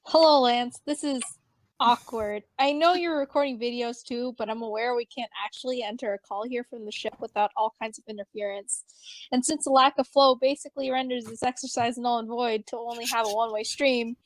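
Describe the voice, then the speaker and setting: A woman playing an awkward, brainy young tech whiz, wordy and deadpan, in an amateur dramatic reading. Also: on the high side, fairly quick, no echo, close to an everyday voice, low-quality recording.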